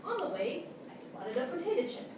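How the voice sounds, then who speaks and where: A woman plays an excitable dog narrating his adventures in a high voice. The delivery is theatrical and comic, full of short punchy phrases. It is picked up from far off in a noisy, very reverberant theatre. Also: a little fast. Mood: boastful, comic. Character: excitable, boastful, easily distracted male dog narrator. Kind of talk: theatrical staged prose reading. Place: small theatre stage, live audience.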